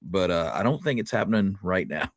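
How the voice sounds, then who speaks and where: A man's voice: low voice, lively intonation, normal speed, dry recording, clean studio sound. A man speaking casually as a podcast co-host, with an easygoing manner.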